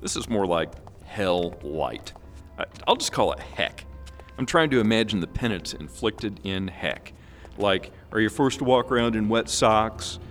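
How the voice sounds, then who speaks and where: An exasperated, sarcastic grumbler acted by a man in a comedic radio play. His lowish voice swings widely in pitch, with music underneath. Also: expressive, a little slow, a bit bright. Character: exasperated, sarcastic trick-or-treating man griping about a dull hell.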